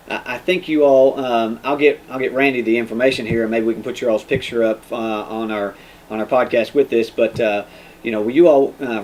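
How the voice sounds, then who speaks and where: A man with an American accent hosting a casual interview as a police sergeant, in an informal, halting way. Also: mid-range voice, a little mellow, low-quality recording. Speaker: podcast host, police sergeant. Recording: noticeable background noise.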